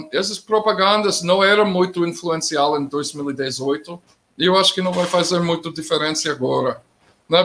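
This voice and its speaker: A middle-aged man speaking Portuguese with a non-native accent, commenting as a guest in a political discussion, plain-spoken with halting grammar. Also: fairly high pitch, a bit bright. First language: not Portuguese.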